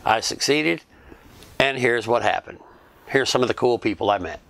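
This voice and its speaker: A man tells his travel story for his own channel in an easygoing, conversational way. His voice sits at an ordinary middle pitch. He restarts a phrase here and there and keeps on talking. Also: moderate pace, vocal fry, noisy background. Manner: relaxed, chatty, with small restarts. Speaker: YouTube vlogger and fan interviewer. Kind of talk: casual recounting narration.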